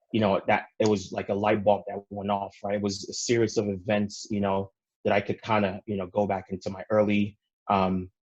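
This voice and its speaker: A young man from Ghana, telling his story conversationally as an interview guest, in a halting way with many fillers. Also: on the low side, highs cut off. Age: young adult. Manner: halting, frequent "you know" fillers. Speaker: podcast guest, real estate investor.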